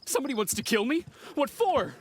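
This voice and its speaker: An actor playing an excitable, self-concerned gentleman in a video game, with a theatrical, fretful manner. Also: extremely high pitch, a little fast. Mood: alarmed, indignant. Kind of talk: dramatic video game dialogue.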